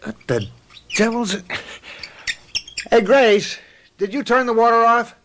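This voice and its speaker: A wry, harried American husband. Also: male voice, extremely high pitch, unhurried, fairly bright tone, a little hiss or hum. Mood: exasperated. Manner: exasperated, wry, conversational. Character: harried suburban husband and commuter, wry, impatient. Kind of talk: American radio drama acting.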